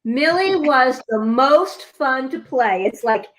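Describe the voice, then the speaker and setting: A woman's voice: high voice, expressive, slow, poor audio. A woman, an amateur stage actor, chatting casually on a video call. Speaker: actor in a community theater reading.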